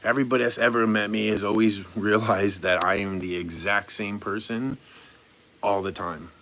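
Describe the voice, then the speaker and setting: A man's voice: fairly low pitch, fairly even intonation, moderate pace, fairly bright tone, a little hiss or hum. Laid-back rambling man in his forties. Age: 40s. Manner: laid-back and rambling, reads comments aloud then answers; goofy, chuckles now and then. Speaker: live streamer reading viewer chat. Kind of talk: casual live-stream chat.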